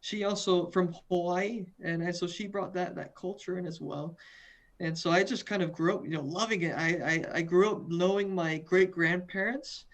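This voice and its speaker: A man's voice: sits high, fairly even intonation, moderate pace, fairly bright tone. Young adult man, from the US West Coast, student guest, earnest, repeats words. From western United States. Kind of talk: video call interview.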